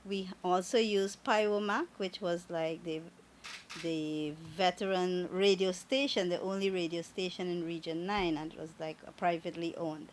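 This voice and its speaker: A woman from Guyana with a Guyanese accent, speaking as a government minister in a radio interview in a measured, formal way. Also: on the low side, steady tone. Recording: radio studio with faint noise.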